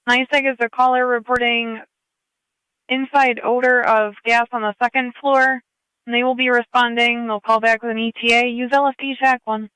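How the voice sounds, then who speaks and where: A man's voice: extremely high pitch, little pitch movement, normal speed, sharp tone, smooth, no room sound, poor audio. A man working as an emergency dispatcher, relaying calls over two-way radio in clipped, procedural phrases and repeating addresses.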